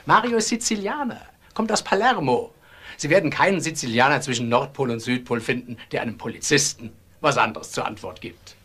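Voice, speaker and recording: Husky, genial restaurateur. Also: male voice, mid-range voice, expressive, a little fast, a bit bright. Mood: indulgent. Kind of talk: German crime radio drama acting.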